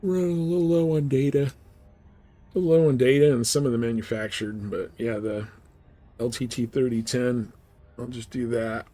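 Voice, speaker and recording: A man's voice: mid-range voice, steady tone, normal speed, dark tone. A man streaming a game, chatting casually in a laid-back, rambling way as he answers viewers. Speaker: live streamer playing a space trading game. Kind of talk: casual gameplay chat. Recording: faint background noise.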